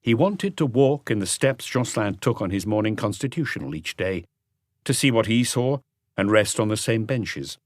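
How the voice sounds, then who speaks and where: A man reading a crime novel as an audiobook, in measured, articulate scripted narration. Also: fairly low pitch, animated, dry recording, clean studio sound.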